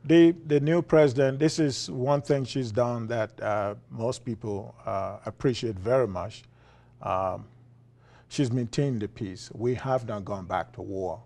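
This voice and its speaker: A man with a Liberian accent, a philosophy professor being interviewed, talking in a relaxed way with frequent fillers and chuckles. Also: mid-range voice, measured pace, vocal fry. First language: Liberian English. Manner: chuckling, many uh fillers and restarts. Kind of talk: conversational interview.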